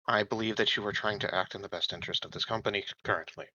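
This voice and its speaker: A man voicing a calculating, quietly menacing corporate android in a tabletop game, with formal, measured and precise phrasing. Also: lowish voice, steady tone, dry recording, dull audio. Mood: cool, conciliatory.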